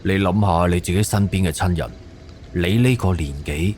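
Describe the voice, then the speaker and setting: A man acts as an authoritative middle-aged police captain in a radio play. His voice is low and his delivery measured and official, firm whether he persuades or gives orders. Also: a little mellow, a little hiss or hum, close to an everyday voice. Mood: firm, persuasive. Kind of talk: Cantonese audio drama acting.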